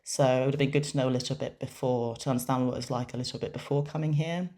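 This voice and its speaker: Very low-voiced woman, measured. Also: fairly even intonation, mellow. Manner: measured, with frequent pauses and restarts. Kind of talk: first-person interpreted interview answers.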